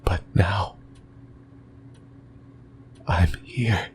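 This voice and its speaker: A man voicing a creepy, possessive, lonely sleep paralysis demon in a whispered ASMR roleplay, breathy and halting, close to the mic. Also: deep voice, a little hiss or hum. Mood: possessive, intimate. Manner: slow, halting, rambling, intimate musing. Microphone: close microphone.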